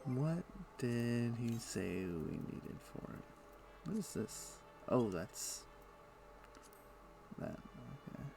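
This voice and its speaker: Gravelly man muttering through a game. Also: mid-range voice, drawn-out words. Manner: muttering to himself while playing, wry asides. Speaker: streamer. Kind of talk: casual live-stream gameplay commentary. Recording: steady background noise.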